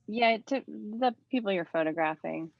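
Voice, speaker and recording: A woman's voice: mid-range voice, normal speed, gravelly, dry recording. A woman in the audience of an online talk, asking and commenting conversationally over dull-sounding video-call audio. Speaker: audience member asking a question.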